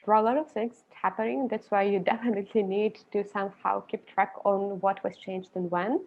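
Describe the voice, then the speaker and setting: A woman's voice: mid-range voice, fairly even intonation, brisk, gravelly. Young woman, Ukrainian accent, video call. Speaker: guest expert. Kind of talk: interview over video call. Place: indoor room with a large plant. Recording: slightly dull video call audio.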